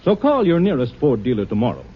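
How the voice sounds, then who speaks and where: Husky, very animated male radio ad announcer. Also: medium pitch, fast. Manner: emphatic, polished salesmanship. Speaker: commercial announcer. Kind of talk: 1950s radio advertising read. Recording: muffled vintage radio recording.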